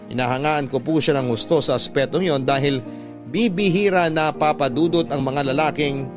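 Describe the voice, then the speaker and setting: Higher-pitched man narrating over music. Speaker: radio drama narrator. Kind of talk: scripted first-person letter narration, classic radio storytelling. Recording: prominent music behind narration. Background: instrumental music.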